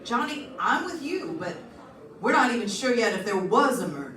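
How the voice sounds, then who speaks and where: A woman's voice: on the high side, big pitch swings, moderate pace, a bit bright. Lady playing a cautious weary homicide cop in a radio play, echoey and off mic. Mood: matter-of-fact. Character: matter-of-fact police homicide lieutenant, cautious and world-weary. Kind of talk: old-time radio detective acting. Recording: echoey stage, distant mic.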